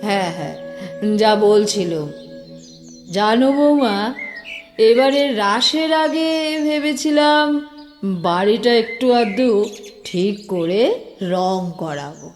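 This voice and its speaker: Slow, gentle old matriarch acted by a woman, reverberant. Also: mid-range voice, sing-song. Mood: wistful, chiding. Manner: measured, reflective, coaxing with trailing tag questions. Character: elderly mother-in-law of a landed house, gentle, tradition-bound. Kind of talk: Bengali audio drama acting. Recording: long reverb, music bed underneath.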